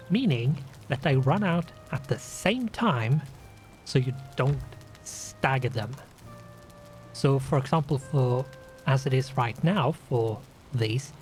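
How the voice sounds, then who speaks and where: A man with a Scandinavian accent, sniffly from a runny nose, talking slowly through a game he plays. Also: mid-range voice, a little mellow. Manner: slow, deliberate, with restarts and fillers. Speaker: gaming channel host. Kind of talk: casual let's play commentary.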